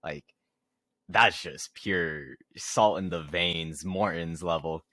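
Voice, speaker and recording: A man's voice: low-pitched, takes it slowly, sharp tone, no room sound. A man co-hosting a podcast, talking casually in an informal, slangy way. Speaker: podcast co-host. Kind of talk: casual gaming podcast chat.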